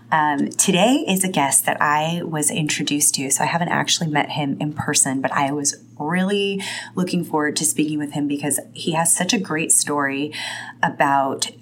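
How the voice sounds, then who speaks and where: A woman with a low, scratchy voice from being sick hosts a podcast. She talks in a loose, rambling way and laughs often between thoughts. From Italian heritage. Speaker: podcast host. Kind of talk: casual podcast intro and interview.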